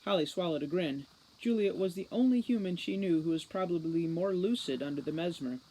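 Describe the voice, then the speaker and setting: A woman's voice: lowish voice, fairly quick, dark tone, poor audio. Woman narrating a children's fantasy audiobook. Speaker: audiobook reader. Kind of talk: scripted storybook narration.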